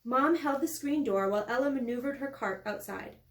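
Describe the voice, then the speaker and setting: A woman's voice: high-pitched, fairly quick. A woman, an author, reading her novel aloud as scripted story narration in an echoey room.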